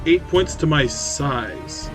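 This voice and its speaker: Man, slightly high voice, wry, sweary, casual game-table chat, music in background. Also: expressive, slow pace. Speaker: player in a tabletop roleplaying game. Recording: clear music behind the voice. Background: music bed.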